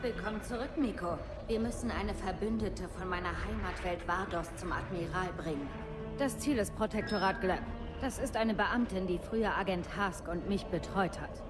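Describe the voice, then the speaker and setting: An actress playing a resolute, defiant imperial special forces commander in a German video game, firm and earnest. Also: female voice, mid-range voice, moderate pace, close to an everyday voice. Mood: determined. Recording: echoey, very loud music behind.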